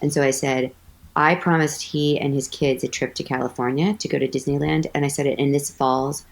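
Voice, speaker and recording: A woman with a low voice, chatting animatedly as an actress guest in an interview, telling stories with lots of "like". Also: fairly even intonation, normal speed, poor audio. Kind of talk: casual interview storytelling.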